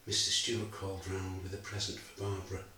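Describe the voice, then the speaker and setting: A man's voice: deep voice, monotone. A man playing a grieving older father. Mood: sorrowful. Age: 60s. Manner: subdued, measured storytelling, growing quieter. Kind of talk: theatre monologue. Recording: very echoey room, mic far away, faint hiss.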